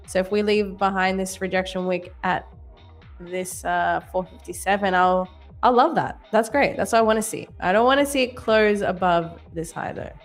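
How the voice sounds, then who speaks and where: A woman in her twenties co-hosting a live trading stream, chatting casually, laughing often and reading viewer comments aloud. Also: medium pitch. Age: 20s. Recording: faint music underneath.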